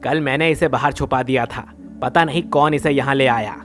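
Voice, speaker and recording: A man's voice: medium pitch, normal speed, fairly bright tone, soft background music, natural voice. A man playing a greedy village thief in a devotional story, brash, colloquial and grumbling. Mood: bargaining.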